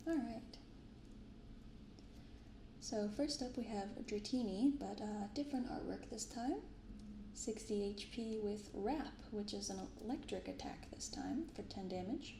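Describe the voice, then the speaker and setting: A woman speaking softly and gently in an unhurried, casual ASMR style as she hosts a video. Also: mid-range voice. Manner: soft, gentle, unhurried delivery. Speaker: host of a card-opening ASMR channel. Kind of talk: soft-spoken ASMR, casual commentary. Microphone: binaural microphone. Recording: roomy echo, clearly audible background noise.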